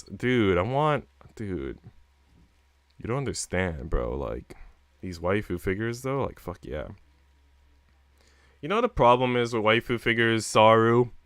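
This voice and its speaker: A man's voice: on the low side, a bit bright, clear, resonant tone, no room sound, clean studio sound. A man livestreaming, chatting casually with viewers in a rambling, slangy way with casual swearing. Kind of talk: casual chat with stream viewers.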